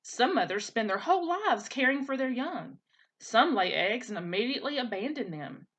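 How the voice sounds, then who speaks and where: Woman playing a calm, formal robot mother. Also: fairly high pitch, voice pushed up. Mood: gentle, steady. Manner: formal, measured speech with few contractions. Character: calm female robot mother, patient, protective, literal-minded.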